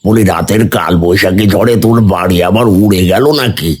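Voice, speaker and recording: A man's voice: fairly low pitch, brisk, darkish tone, slightly rough. A man doing a greedy village hotel keeper. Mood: worried. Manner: exclaiming, wailing, scheming. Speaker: hotel owner. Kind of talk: Bengali cartoon dubbing.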